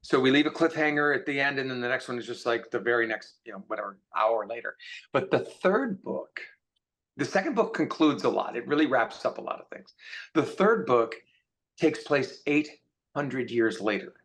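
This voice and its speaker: A man's voice: medium pitch, animated, moderate pace, a bit bright. A man speaking as a guest author in a casual panel conversation, thinking aloud and repeating for emphasis. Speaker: science fiction author, guest.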